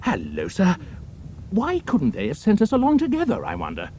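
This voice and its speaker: An actor playing a loyal, excitable young British airman in a wartime audio drama. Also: high voice, moderate pace, slight background noise, heavily acted. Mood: polite.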